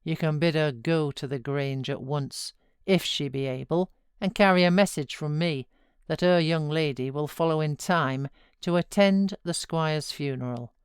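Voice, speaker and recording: A woman's voice: low-pitched, measured pace, clean tone, dry recording, clean studio sound. A woman voicing a callous, domineering master of the house in a novel, curt and commanding.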